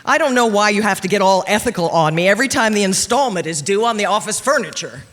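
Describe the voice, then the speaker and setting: A woman's voice: mid-range voice, lively intonation, fast talker, natural voice. A woman plays a detective's fond but exasperated secretary in a 1940s-style radio play. She is American, plaintive and wry. Her voice carries the echo of a stage hall.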